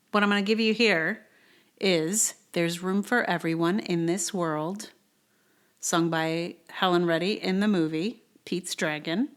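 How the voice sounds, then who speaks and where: A woman's voice: mid-range voice, fairly bright tone. A woman hosting a podcast, chatting casually and informally, often hesitant as she thinks aloud. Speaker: podcast host.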